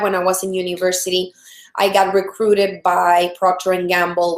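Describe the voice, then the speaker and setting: A woman in her thirties from El Salvador with a Salvadoran Spanish accent, hosting a live webinar training as a product strategist, friendly and full of fillers and restarts. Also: on the low side. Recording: roomy echo.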